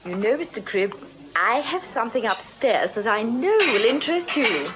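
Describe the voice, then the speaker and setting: An actress playing a genteel, flirtatious Victorian English widow who runs a lodging, gushing and coy in period radio drama style. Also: female voice, fairly high pitch, very animated, moderate pace. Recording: dull radio recording, crowd noise behind.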